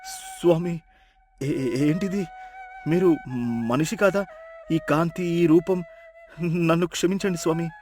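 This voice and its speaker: Earnest poor fisherman acted by a man, humble and principled, raised pitch, storybook drama with music behind. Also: steady tone, takes it slowly, long pauses, darkish tone. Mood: awed, fearful. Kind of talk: animated moral folktale acting.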